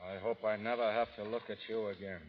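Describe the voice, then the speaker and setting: An actor playing a stoic, resolute frontier gunfighter in an old radio drama, plain-spoken and earnest. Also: male voice, lowish voice, little pitch movement, fast, lightly acted. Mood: grave, bitter. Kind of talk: 1930s-40s radio drama acting. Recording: muffled vintage radio recording, crowd noise behind.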